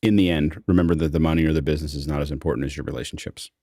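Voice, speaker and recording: A man's voice: very deep voice, steady tone, rapid-fire, warm tone, dry recording, clean studio sound. A man hosting an online panel in a casual, off-the-cuff way, often repeating words and restarting sentences. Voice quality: gravelly, creaky. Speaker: host of an online panel discussion. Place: online video call, close dry sound.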